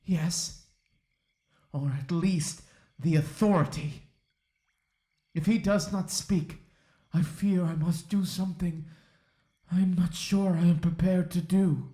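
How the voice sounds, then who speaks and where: A man playing a hesitant, courteous young colonial lawman in a period audio drama, formal and earnest with halting phrasing. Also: high-pitched, fairly even intonation, mellow. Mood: uneasy, courteous. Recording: roomy echo.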